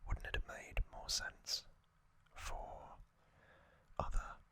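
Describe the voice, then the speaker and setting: A man hosting an ASMR recap in a breathy whisper, musing casually and giving his own opinions as he goes. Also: takes it slowly, sharp tone. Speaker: ASMR video host.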